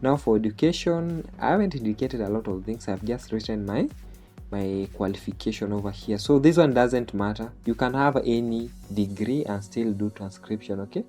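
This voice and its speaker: A man's voice: on the low side, moderate pace, mellow, faint music behind. A man from Kenya with a Kenyan accent, a native Swahili speaker, walking through a screen-share tutorial step by step and often checking in with "okay?". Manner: explaining step by step, ends phrases with "okay?". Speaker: online jobs tutorial host.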